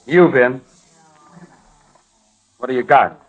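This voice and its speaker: A man's voice: mid-range voice, slow. A man playing a defiant, agitated young ranch kid in an old radio western. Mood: angry, defiant. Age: young adult. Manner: agitated, defensive, snapping. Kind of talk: 1950s radio western acting. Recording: muffled old radio recording.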